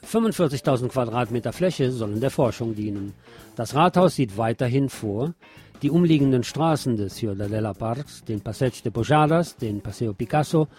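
A man's voice: medium pitch, warm tone, breathy edge. A man presenting scripted news and commentary on the radio, reading as a presenter.

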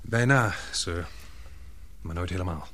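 A man's voice: deep voice, a little slow, bright, forceful tone, heavily acted. A man playing a dutiful young English fiancé in a radio drama, thoughtful and restrained. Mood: thoughtful, respectful.

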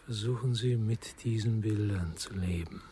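An actor playing an earnest, probing psychotherapist, measured and reflective in a radio crime drama. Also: male voice, lowish voice, warm tone, breathy edge. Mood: urgent, reflective. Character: earnest, probing male psychotherapist and life counselor.

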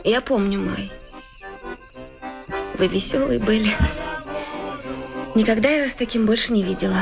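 An actress playing a plainspoken, tender village woman in a radio play, reserved and gently reproachful. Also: mid-range voice, unhurried, gravelly. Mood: wistful. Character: plainspoken village woman, tender, quietly holding a man back. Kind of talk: Russian radio play acting. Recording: music behind the voice.

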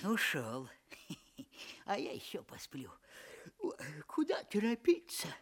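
A sly, lazy young farmhand in a fairy-tale audio drama. He drawls slowly and huskily, with wide lilting swings, forever cheeky and falsely innocent. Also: high-pitched, wildly swooping pitch, fairly bright tone, in a whisper, exaggerated voice. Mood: smug, lazy. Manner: drawling, unhurried, chuckling.

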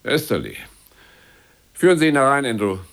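Imperious, needling husband, radio drama; creaky voice with lively swings, sardonic and irritable. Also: male voice, medium pitch, moderate pace. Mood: coldly formal. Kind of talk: German radio drama acting.

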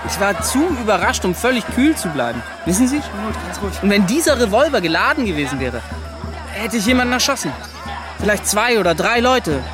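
Earnest, higher-voiced young adventurer. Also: male voice, big pitch swings, fairly quick. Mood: agitated. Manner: impassioned, emphatic, breaks into short repeated questions. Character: earnest, excitable young adventurer recounting his ordeal. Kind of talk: German radio play acting. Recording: music under the voice.

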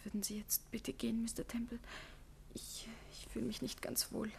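A woman's voice: a little high, little pitch movement, moderate pace, darkish tone. An actress playing a frightened young woman who stammers and hesitates, in a 1950s radio drama. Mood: nervous. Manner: hesitant, stammering, breathy whispers. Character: nervous frightened young woman hiding something.

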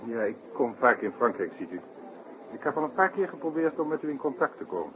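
An actor playing a polite, worried circus director in a 1960s radio play, speaking formally and carefully. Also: male voice, medium pitch, big pitch swings, fast talker, lightly acted. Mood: formal, deferential. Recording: hissy, noisy old radio broadcast.

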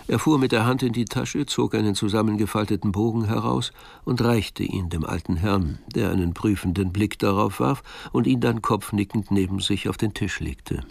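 A man narrating a German radio crime drama in a measured, literary storytelling manner. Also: on the low side, creaky, no room sound.

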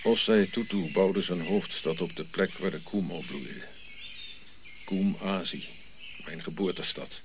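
An old prince in exile, dignified and weary. His low voice moves in measured, solemn phrases, as in a radio play. Clear nature noise of crickets and birds sits behind him. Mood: solemn storytelling. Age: older.

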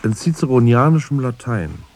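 An actor playing a learned, insistent commentator in a literary radio play, speaking deliberately and with pointed emphasis. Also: male voice, mid-range voice, very animated, a little mellow, a little hiss or hum. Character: learned, insistent male commentator dissecting a poet's self-portrait.

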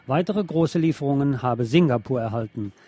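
A man reading scripted news in a measured, formal manner. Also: medium pitch, animated, dark tone, no room sound. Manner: measured, formal read-out delivery. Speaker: news reader.

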